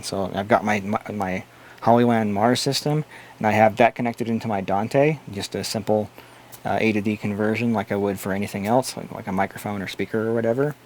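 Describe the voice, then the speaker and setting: A man hosting a casual tech livestream, answering chat questions and explaining things in a blunt, opinionated way. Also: fairly low pitch.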